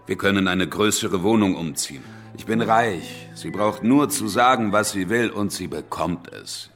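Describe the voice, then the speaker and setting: A man's voice: sits low, normal speed, a bit bright, faint music behind. An actor playing a blunt, commanding man in his thirties, a rich suitor in a radio play. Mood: matter-of-fact, insistent. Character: domineering blunt rich widower of thirty-three, courting.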